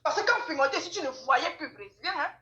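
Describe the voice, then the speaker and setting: A high-pitched woman chats casually in an echoey room. She tells gossip in an animated way, circling back over phrases to drive them home. Her voice is bright and forceful. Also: racing, lo-fi sound. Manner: animated storytelling, repeats phrases for emphasis.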